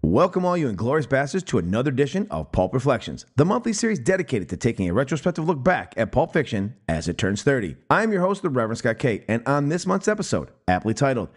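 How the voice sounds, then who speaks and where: A man's voice: medium pitch, fast, few pauses, slightly rough. A man from upstate New York hosting a film podcast in casual, irreverent chat. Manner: animated, irreverent, laughs easily. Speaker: podcast host.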